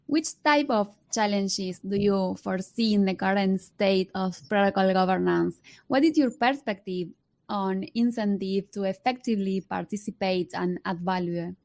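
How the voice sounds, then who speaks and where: Gravelly-voiced woman host asking questions. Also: mid-range voice, moderate pace, a little mellow. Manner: brief, direct questioning. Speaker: podcast host.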